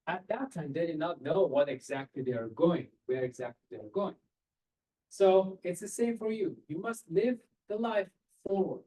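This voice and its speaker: A man's voice: a little high, expressive, normal speed, warm tone, slightly rough, low-quality recording. A man, giving earnest career advice to students in a seminar over a video call. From Iran. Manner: earnest, direct, rhetorical questions, non-native phrasing. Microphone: webcam on a video call.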